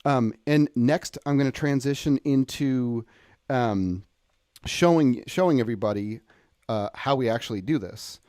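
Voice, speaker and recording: Man giving an informal, dry-sounding software tutorial. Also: mid-range voice, a little slow, no echo. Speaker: presenter demonstrating audio software.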